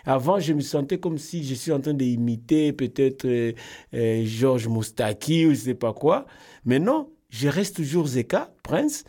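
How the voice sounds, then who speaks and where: Mellow-voiced Congolese man in conversation. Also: mid-range voice, lively intonation, measured pace. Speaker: singer, interview guest. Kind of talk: casual interview, storytelling.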